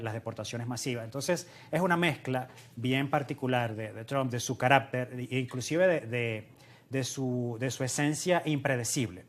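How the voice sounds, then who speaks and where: Man, mid-pitched voice, economist guest, analytical interview answers, explanatory delivery.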